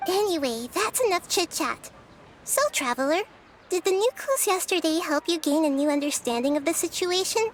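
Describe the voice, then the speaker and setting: Very high, gentle, wise little girl. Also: slight background noise. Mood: serene. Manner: gentle, philosophical, measured phrasing. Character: childlike wise goddess girl, gentle and serene. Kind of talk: anime video game voice acting. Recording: faint music behind the voice.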